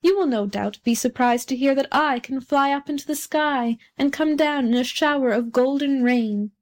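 A woman's voice: sits high, normal speed, voice pushed up, lightly acted. A woman voicing a vain, haughty male firework rocket from a storybook, speaking in a pompous, condescending way. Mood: haughty, disdainful. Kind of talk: storybook character reading.

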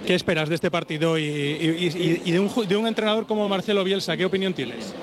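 A man's voice: sits high, a little fast. A man with an accent from Spain reporting live for sports radio from a queue outside a stadium. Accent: Spanish, from Spain. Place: outdoors in a stadium entrance queue. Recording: outdoor stadium crowd noise. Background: crowd of fans.

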